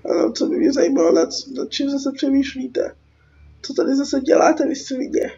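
A woman's voice: high-pitched, lively intonation, gravelly, warm tone. A young woman with a Czech accent and a cold, casually commenting on a game in a rambling, slangy, sarcastic way as host. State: sick with a cold. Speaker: gaming video host. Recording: dull lo-fi voice track over music. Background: game music.

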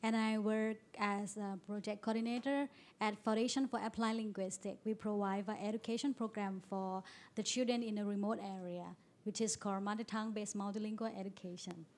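A woman's voice: medium pitch, fairly even intonation, fairly quick, a little mellow. Composed woman. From Thailand. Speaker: project coordinator, panelist.

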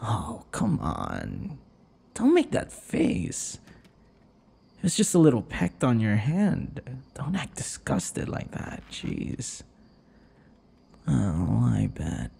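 Man acting a breathy, low-voiced seductive vampire. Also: huge pitch swings, warm tone, exaggerated voice. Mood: amused, mock-offended. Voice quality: breathy, often dropping into whispers. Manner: teasing, theatrical, drawn-out taunting with chuckles. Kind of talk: ASMR audio roleplay, intimate acted monologue.

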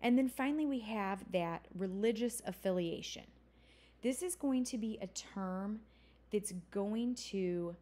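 A woman's voice: mid-range voice, dark tone. A woman instructor giving a recorded college lecture, explaining terms conversationally with occasional fillers and self-corrections.

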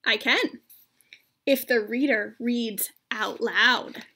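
Calm, playful little girl pig played by a woman, storybook read-aloud, bright, high, deliberate. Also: a little hiss or hum, exaggerated voice. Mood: mischievous.

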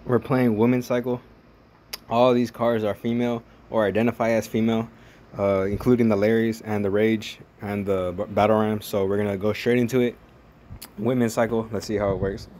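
A man's voice: fairly low pitch, flat delivery, moderate pace, darkish tone. A young man rambling through casual, profane play-by-play of a mobile strategy game as a gaming channel host. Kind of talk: casual gameplay commentary.

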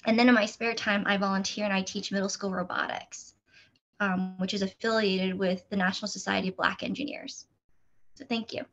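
A woman's voice: medium pitch, a little fast, dull audio, slight background noise. A woman introducing herself casually on an online panel over a video call. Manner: casual, relaxed with fillers.

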